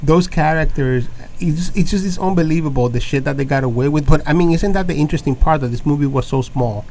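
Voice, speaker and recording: A man's voice: fairly high pitch, fast talker, darkish tone. A young man co-hosting a casual movie podcast, talking loosely with plenty of swearing and laughter. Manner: loose and profane, laughs often. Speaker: podcast co-host.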